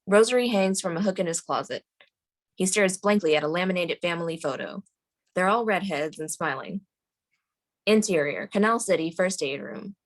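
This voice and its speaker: A woman reading scene directions as the narrator at a screenplay table read. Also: fairly low pitch.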